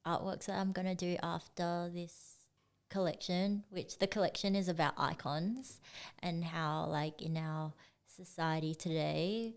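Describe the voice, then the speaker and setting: Young woman, low voice, slow relaxed talk, casual interview guest, lots of fillers. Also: clear, resonant tone. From Singapore. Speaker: guest artist.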